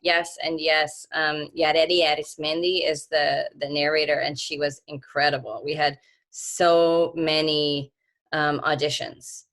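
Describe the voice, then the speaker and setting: A woman's voice: deep voice, fairly bright tone. A woman author speaking earnestly on a video call, reflective, with frequent restarts. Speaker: author being interviewed.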